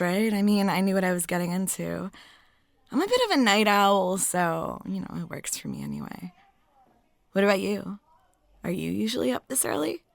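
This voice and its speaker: A woman performing an intimate roleplay as a friendly, flirty waitress, chatty and giggly, with her voice often dropping soft and breathy. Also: mid-range voice, no room sound, clean studio sound. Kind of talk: intimate ASMR-style roleplay monologue.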